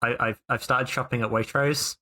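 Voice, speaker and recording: A man's voice: mid-range voice, fairly even intonation, moderate pace, sharp tone. A man playing in a tabletop role-playing game, bantering casually with the group. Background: music under the voice.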